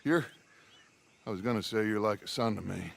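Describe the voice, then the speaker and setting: An actor playing an older outlaw mentor in a game cutscene, teasing and fond. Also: male voice, on the low side, normal speed, creaky. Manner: warmly teasing. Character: old male outlaw mentor, fond and wry. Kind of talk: video game cutscene acting.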